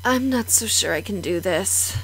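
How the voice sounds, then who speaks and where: A woman's voice: medium pitch, lively intonation, normal speed, slightly rough, poor audio. A woman playing a nervous, insecure cursed beast mistress in an audio drama, speaking hesitantly and unsurely. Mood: anxious.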